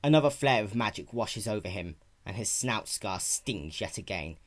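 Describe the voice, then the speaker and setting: A man performing a grave, ominous storyteller, narrating a dark fantasy storybook in a slow, hushed, portentous manner. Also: fairly low pitch, lively intonation, pitched below the performer's own voice.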